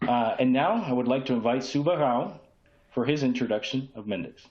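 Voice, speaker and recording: A man presents a business webinar as its host. His voice sits at a medium pitch. The recording is roomy with clear echo and sounds slightly dull. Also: lively intonation, a little fast, darkish tone. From San Juan, Puerto Rico. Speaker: host, key account manager.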